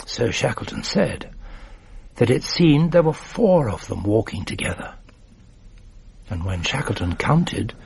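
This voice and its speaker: An actor playing a gentle, weary older father soothing his frightened young son with unhurried storytelling in a radio drama. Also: on the low side, creaky, slightly rough, exaggerated voice.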